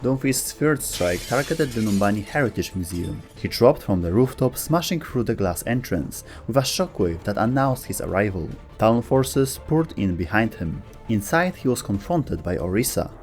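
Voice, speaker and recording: A man's voice: fairly low pitch, animated, normal speed, hardly any pauses, darkish tone. A man delivering scripted storytelling narration for a video game lore video. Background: faint background music.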